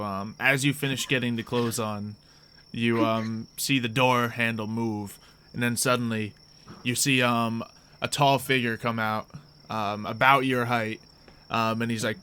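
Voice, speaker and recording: A man improvising casual tabletop game narration as game master in a halting, conversational way. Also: medium pitch, little pitch movement, pushed and bright. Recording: clear background noise with insect sounds.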